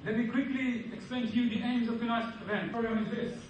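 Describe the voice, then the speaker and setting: A man from Bangladesh chairing a public meeting in a formal, measured way. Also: extremely high pitch, steady tone, slight background noise. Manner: formal and measured, opens with an Arabic blessing. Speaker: event chair and secretary. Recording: echoey hall, voice from far off.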